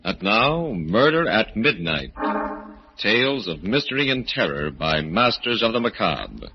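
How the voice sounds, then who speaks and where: An actor playing an ominous radio horror announcer and narrator, grave and dramatic, in 1940s style. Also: male voice, on the low side, sing-song, unhurried, husky, full character voice. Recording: muffled old radio recording, music behind.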